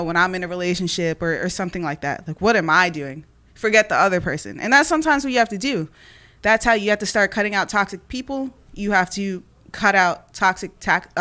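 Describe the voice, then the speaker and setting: A woman's voice: medium pitch, pushed and bright. A woman in her late twenties, a guest poet, chatting casually and reflectively, often asking "you know what I mean". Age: late 20s. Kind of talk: casual podcast chat.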